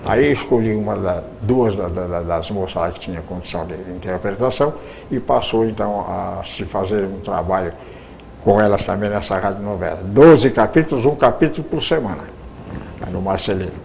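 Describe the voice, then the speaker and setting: A man's voice: fairly low pitch, a little fast, vocal fry, a little mellow, a little hiss or hum. An older man, recalling his years in radio drama in an interview, often stumbling and repeating words. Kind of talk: reminiscing interview answers.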